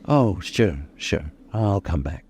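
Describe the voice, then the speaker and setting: An actor playing a brooding, menacing male killer in old-time radio suspense style, intense, halting and obsessive. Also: low-pitched, lively intonation, darkish tone, a little hiss or hum, full character voice. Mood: uneasy, evasive.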